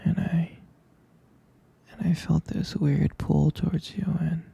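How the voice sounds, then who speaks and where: A man murmurs a bedtime boyfriend roleplay in a very husky, breathy whisper full of heavy creak. His delivery is tender, halting and soothing, with a flat, even melody, close to the microphone. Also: a little high. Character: tender, protective boyfriend lulling partner to sleep. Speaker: ASMR roleplay performer.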